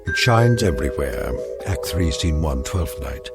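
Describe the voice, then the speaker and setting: An actor playing a grandiose, haughty William Shakespeare, declaiming pompously in a theatrical radio drama. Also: male voice, rumbling low, animated, a little slow, gravelly, music in the background, heavily acted. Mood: declamatory.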